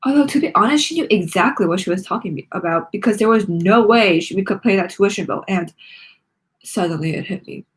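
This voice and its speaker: Female read-along narrator, mid-range with a breathy rasp, dramatic delivery for a children's book. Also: mid-range voice, expressive, a little fast, low-quality recording. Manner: dramatic, acting out the lines. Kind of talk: expressive storybook reading.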